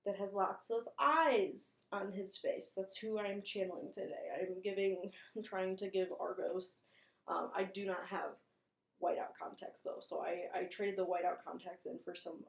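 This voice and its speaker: A woman's voice: mid-range voice, steady tone, measured pace. A woman giving casual video commentary as a host, chatty, rambling and self-deprecating. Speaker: host of a horror video channel. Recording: roomy, echoing room sound.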